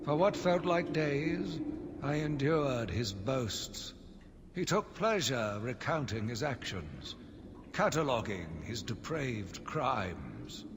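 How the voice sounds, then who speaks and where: An actor playing an embittered, zealous asylum doctor who gives a solemn, theatrical monologue for a video game audio log. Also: male voice, medium pitch, very animated. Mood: cold disdain. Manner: solemn, measured, brooding, theatrical. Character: embittered male asylum doctor, grief-stricken, zealous, slowly turning vengeful. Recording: long reverberant echo, loud background noise.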